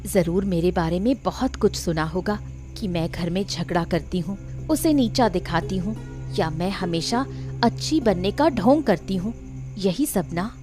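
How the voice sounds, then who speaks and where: A woman's voice: lowish voice, animated, measured pace, dark tone, soft background music. Woman playing a plaintive, long-suffering elder daughter-in-law. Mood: bitter. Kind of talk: melodramatic animated story acting.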